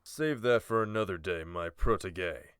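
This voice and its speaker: A man's voice: deep voice, measured pace, a bit bright, smooth, no room sound, clean studio sound. An actor playing a composed, courteous male village leader with a wry streak in a fantasy audiobook. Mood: wry teasing. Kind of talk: audiobook character acting.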